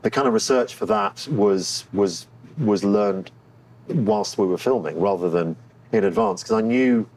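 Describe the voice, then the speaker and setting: A man speaking as an actor in a candid interview, thoughtful and often pausing to find his words. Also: lowish voice, a little mellow, poor audio. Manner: thoughtful and halting, searching for words. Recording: clear background room noise.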